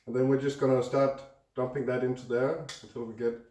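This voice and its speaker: A hoarse, congested man hosting a cooking show, chatting casually as he mixes ingredients in a home kitchen. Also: medium pitch, little pitch movement, a little fast. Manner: chatty, talks while mixing food. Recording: roomy echo, distant mic, faint noise.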